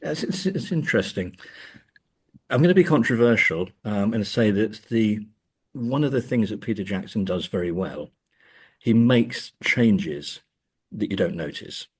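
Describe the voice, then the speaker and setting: A man speaking as an academic guest in an interview, discussing in a halting way and often repeating words as he thinks. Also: on the low side, expressive, slightly rough. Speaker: academic and author being interviewed. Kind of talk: interview discussion of film adaptations.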